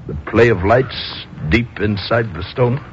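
An actor playing a bluff, slyly hypnotic military general in a 1950s radio drama, musing in clipped, repeated phrases. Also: male voice, fairly low pitch, a little slow, natural voice. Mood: genial, showing off. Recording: dull, noisy old radio recording.

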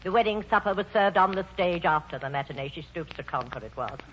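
A woman's voice: on the low side, lively intonation, fast, a bit bright. An actress playing a proud, fiery old grandmother of the stage, grand and declamatory in 1940s radio drama style. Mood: stern, imperious. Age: older. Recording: dull, noisy old radio broadcast.

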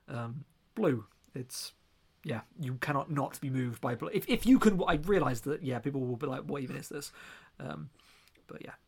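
A man's voice: mid-range voice, creaky, slightly rough. A man co-hosting a podcast, talking casually and earnestly with a rambling, joking manner. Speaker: podcast co-host.

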